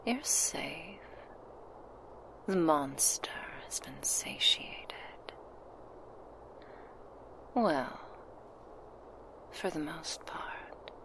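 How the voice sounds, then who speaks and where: Woman acting a slow, creaky, whispering seductive vampire. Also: lively intonation, full character voice, rough recording. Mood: teasing apology. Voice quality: breathy whisper with creaky low murmurs. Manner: slow, intimate, sultry. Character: seductive, hungry female vampire, doting and predatory. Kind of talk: whispered binaural ASMR roleplay. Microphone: very close binaural microphone.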